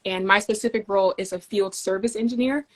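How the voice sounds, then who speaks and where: A young woman from Denmark, a guest in a relaxed interview, talks at an even middle pitch. Her delivery is measured and friendly as she walks through technical ideas step by step. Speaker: guest, engineer. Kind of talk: casual interview conversation.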